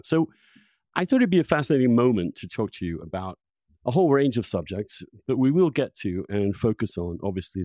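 A man hosting a conversational interview podcast, talking in an effusive, halting way and repeating words for emphasis. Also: low-pitched, darkish tone, no room sound. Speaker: podcast host. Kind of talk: conversational interview with long personal introduction.

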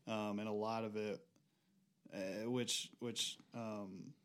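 A young man speaking as a podcast guest, reflective and hesitant, working through his thoughts with frequent pauses. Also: lowish voice, steady tone, fairly bright tone. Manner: reflective, hesitant, many fillers and restarts. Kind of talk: casual conversation.

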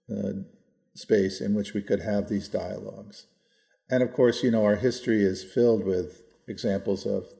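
Male, 60s, American. Creaky, relaxed voice, measured conversational delivery, podcast co-host who qualifies as he goes. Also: lowish voice. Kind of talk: reflective policy discussion, conversational.

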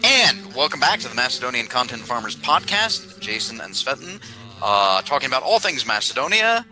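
Bright, sharp-voiced man hosting a podcast. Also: mid-range voice, very animated, soft background music, poor audio. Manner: brisk, steers the segments. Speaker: podcast host.

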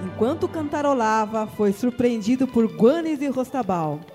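Measured radio-drama narrator, storytelling, mid pitch, music bed. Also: animated.

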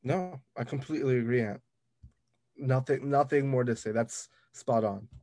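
A man's voice: medium pitch, normal speed, a little mellow, no room sound, studio quality. A male podcast co-host chatting casually about business in an agreeable way.

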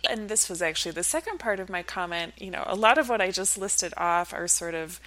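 A woman's voice: on the low side, animated, a little fast, bright, forceful tone. Woman explaining as an expert guest. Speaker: researcher and professor, podcast guest.

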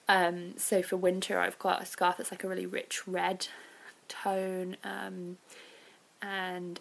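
A woman hosting a podcast as an informal, reflective solo chat, with hedging asides and a creaky voice. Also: mid-range voice. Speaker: podcast host.

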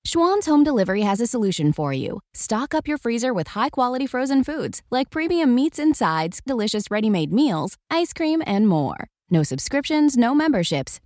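A woman's voice: fairly high pitch, big pitch swings, normal speed, few pauses, darkish tone, no room sound, clean studio sound. A woman announcer gives a scripted, conversational advertisement read.

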